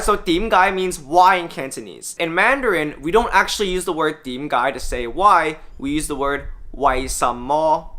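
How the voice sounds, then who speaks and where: A man's voice: on the high side, sharp tone. A man hosting a casual language lesson, explaining words one by one, upbeat and dropping wry joking asides. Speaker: language teacher and host.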